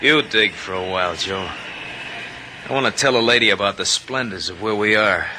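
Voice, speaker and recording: Noisy old radio drama, actor as low-voiced gunman, courtly, florid, menacing, educated. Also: normal speed, natural voice. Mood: gloating, florid. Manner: courtly, poetic, mockingly polite. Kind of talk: 1950s radio drama acting. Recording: noisy vintage radio recording.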